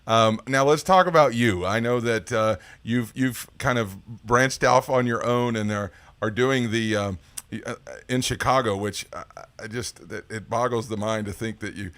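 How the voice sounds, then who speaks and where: A man hosting a casual interview in an effusive, encouraging way, haltingly repeating his words. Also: mid-range voice, a bit bright. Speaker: interview host.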